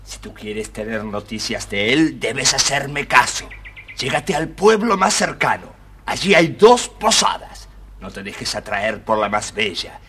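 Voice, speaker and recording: An actor voicing a wise, helpful talking fox who gives courteous advice in a children's storybook recording. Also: male voice, medium pitch, very animated, measured pace, pauses often, noisy background. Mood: wise, advising. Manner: advising, courteous.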